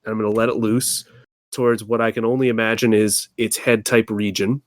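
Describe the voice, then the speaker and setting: A man's voice: on the low side, flat delivery, brisk, no room sound. A man chatting casually as a player in a tabletop game, with a wry, jokey manner.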